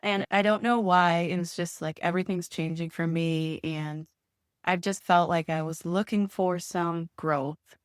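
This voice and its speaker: A woman's voice: lowish voice, steady tone, a little slow, clear, resonant tone, no room sound, studio quality. A middle-aged woman co-hosting a podcast, telling her story in an earnest, reflective way. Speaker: podcast co-host. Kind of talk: casual chat.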